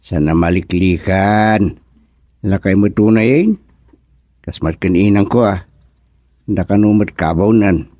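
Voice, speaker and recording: An actor playing an easygoing older village husband in a comic radio serial, drawling and chuckling with lots of grunts. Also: male voice, deep voice, unhurried, pauses often, mellow, dry recording, clean studio sound. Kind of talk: comic radio drama acting.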